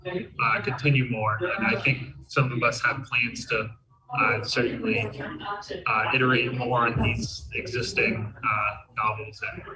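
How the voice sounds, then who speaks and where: A man with a mid-pitched voice gives hesitant, unscripted answers as a developer on a panel. He sounds away from the microphone, with clear crowd noise behind him. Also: level tone. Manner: hesitant, halting delivery. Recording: distant mic, crowd chatter behind.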